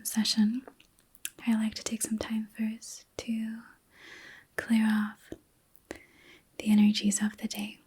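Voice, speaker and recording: A woman hosting an ASMR reiki session in a soft, breathy whisper, gentle and soothing, with unhurried pauses. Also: mid-range voice. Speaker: ASMR host and reiki practitioner.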